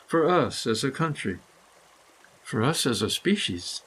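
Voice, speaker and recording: A man reading a scripted, poetic guided meditation in measured, deliberate phrases with pauses. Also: mid-range voice, fairly bright tone, low-quality recording. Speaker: guided meditation reader, author.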